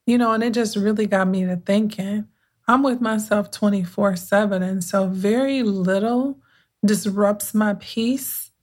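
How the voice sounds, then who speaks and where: A woman with a soothing voice talks through her own podcast as a mindset coach. She speaks casually and reflectively, taking her time, pausing to gather her thoughts and restarting phrases as she goes. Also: medium pitch, steady tone, a little mellow. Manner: unhurried, conversational, with thoughtful pauses and restarts.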